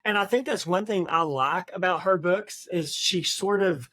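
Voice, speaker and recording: A woman's voice: on the low side, normal speed, a bit bright, no echo. A woman talks informally as co-host of a book discussion podcast. Her delivery is conversational and unscripted. The recording is dry, without audible room reflections.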